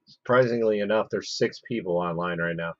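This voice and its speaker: A man's voice: lowish voice, fairly quick, fairly bright tone, no room sound, dull audio. A man hosting a casual live stream, losing his voice and muttering to himself as he works. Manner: chatty, mutters to himself while working. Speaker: livestream host. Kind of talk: casual live-stream chat while working.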